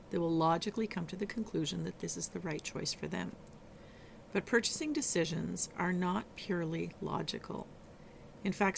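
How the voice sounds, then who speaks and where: A middle-aged woman with a hoarse, rough voice hosts a solo teaching podcast, coaching listeners in a conversational way with some halting restarts. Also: lowish voice, slight background noise. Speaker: podcast host and virtual assistant coach.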